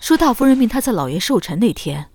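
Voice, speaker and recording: A woman's voice: fairly high pitch, very animated, fast talker, darkish tone, slight background noise. A woman playing an affectionate but firm older grandmother and mother-in-law in a Chinese period audio drama, motherly and lightly scolding. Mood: stern. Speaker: voice actor in an amateur audio drama.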